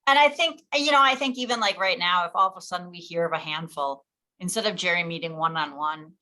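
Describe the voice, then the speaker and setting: Bright, forceful American woman, lowish pitch. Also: quick pace. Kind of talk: casual meeting talk.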